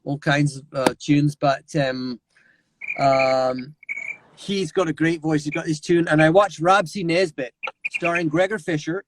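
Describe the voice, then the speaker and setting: A middle-aged man, a voice actor, telling lively anecdotes over a remote call and slipping into character voices. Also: a little high, unhurried, noisy background. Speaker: voice actor guest. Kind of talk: animated anecdotal storytelling.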